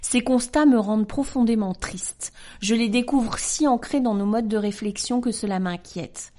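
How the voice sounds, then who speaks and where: A woman in her forties hosting a podcast, reading a letter aloud in a calm, measured, earnest way. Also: fairly high pitch. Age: 40s. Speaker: podcast host.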